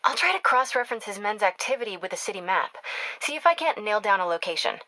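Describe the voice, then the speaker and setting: A woman's voice: medium pitch, big pitch swings, fast talker, pushed and bright, dry recording. An actress playing a driven, caring young woman reporter in a video game, speaking in an earnest, conversational way. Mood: focused. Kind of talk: video game voice acting, natural phone-call dialogue.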